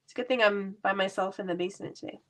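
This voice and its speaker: A woman's voice: mid-range voice, rapid-fire, a bit bright. Stuffy-nosed woman chatting through a craft demo. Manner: chatty, laughs often, self-deprecating. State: stuffy from a cold. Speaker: card-making crafter. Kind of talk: casual crafting tutorial. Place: home basement craft room.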